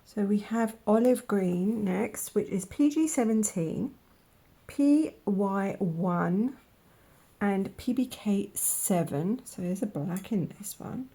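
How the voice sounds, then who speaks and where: A woman with a croaky voice from a cold, slowly musing aloud in a soft-spoken way as she tries out paints, sometimes dropping to a whisper. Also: mid-range voice. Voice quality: croaky, gravelly. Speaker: art vlogger. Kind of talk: casual voice-over while swatching paints.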